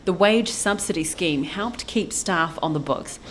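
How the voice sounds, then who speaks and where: A woman's voice: on the low side, a little slow, slight background noise. A woman with a New Zealand accent narrating a scripted news feature as a reporter.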